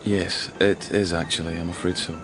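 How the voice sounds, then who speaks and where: A man's voice: sits low, flat delivery, moderate pace, music in the background, close to an everyday voice. An actor playing a tactful but persistent male police detective in a radio crime drama, with an informal, coaxing way of questioning. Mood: tactful, reluctant.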